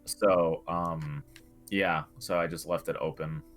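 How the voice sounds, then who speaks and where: A young man co-hosting a podcast, talking casually about video games in halting, hesitant phrases. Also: low voice, fairly even intonation, a bit bright, soft background music. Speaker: podcast co-host.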